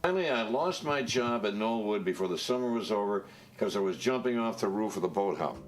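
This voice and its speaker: Deep-voiced man in his eighties. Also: lively intonation, faint music behind. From upstate New York. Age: 80s. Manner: unhurried, reflective, with "uh" fillers. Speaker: interviewee, pianist. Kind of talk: interview.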